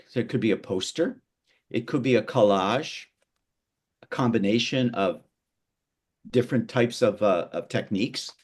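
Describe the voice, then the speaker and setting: Halting middle-aged male workshop teacher. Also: medium pitch, darkish tone. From Canada. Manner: halting, many fillers and repeated words. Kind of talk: online workshop lesson. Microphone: video call.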